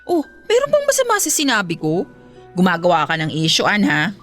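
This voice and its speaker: An actress playing a provoking older sister who feigns sweet apology, in a Filipino radio drama with a Filipino accent. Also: female voice, on the low side, wildly swooping pitch, fairly quick, a bit bright, faint music behind. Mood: defiant.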